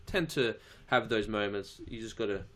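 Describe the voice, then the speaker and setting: A man's voice: fairly low pitch, normal speed, fairly bright tone, slight background noise. A young Australian man with an Australian accent, chatting casually on a live stream, answering viewer questions in a laid-back, slangy way with casual swearing.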